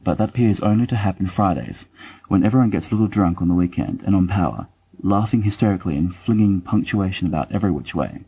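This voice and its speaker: Male reader as a fussy, bookish young man in an audio drama; dark, low voice, dryly self-deprecating. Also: quick pace, slightly rough, low-quality recording. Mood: wry. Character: wry bookish young male letter-writer, fussy and affectionate. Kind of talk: dramatic reading of letters.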